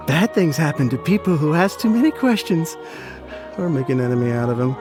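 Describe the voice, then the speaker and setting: A tipsy, jittery man, played by an actor, his voice jumping up and down as he rambles and stammers. He keeps sliding into hushed, nervous warnings in video game dialogue, with game music underneath. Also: on the high side, lively intonation, moderate pace, natural voice. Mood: fearful.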